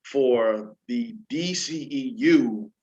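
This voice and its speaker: A man hosting a casual entertainment news show, talking in an animated, slangy way and addressing listeners like an announcer. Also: medium pitch, extremely slow, slight background noise. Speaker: show host. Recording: dull lo-fi audio, music underneath.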